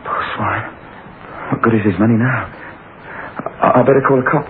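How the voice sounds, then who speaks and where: A man's voice: mid-range voice, moderate pace, slight background noise, lightly acted. An actor playing a desperate, poor unemployed British man, telling his story dramatically in an old-time radio drama. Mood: anxious. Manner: dramatic first-person storytelling, colloquial. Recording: tinny old radio broadcast with music.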